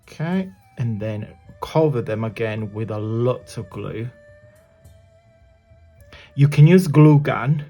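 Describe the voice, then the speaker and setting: A man with a croaky, gravelly voice talks unhurriedly through a hands-on craft tutorial at his desk. He is chatty and apologetic, trailing off as he works, and sounds a little husky throughout. Faint music plays underneath. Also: mid-range voice, warm tone.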